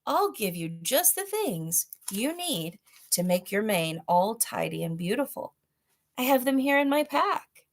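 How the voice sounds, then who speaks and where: A woman's voice: a little high, sing-song, unhurried, heavily acted. A woman voicing a polite, resourceful young boy in a children's storybook, earnest and pleading in manner. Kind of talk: children's storybook read-aloud.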